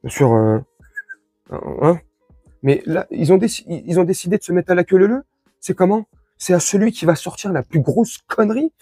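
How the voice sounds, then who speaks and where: A man from France with a husky voice, giving an informal, crude and sarcastic opinion rant to his followers as an online commentator. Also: sits high, lively intonation, normal speed, mellow, no echo, faint music behind. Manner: crude, slangy, sarcastic, indignant.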